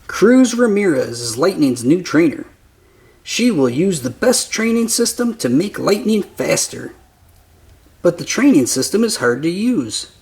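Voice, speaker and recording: Slow, lively male children's storybook reader. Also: high voice. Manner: simple, deliberate phrasing for young listeners. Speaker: narrator of a children's read-aloud storybook.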